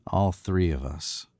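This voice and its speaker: A man's voice: deep voice, warm tone, no echo, studio quality, heavily acted. A man playing a smug, cool young murderer. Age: young adult. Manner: smug and controlled, clipped commands. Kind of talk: 1940s radio acting.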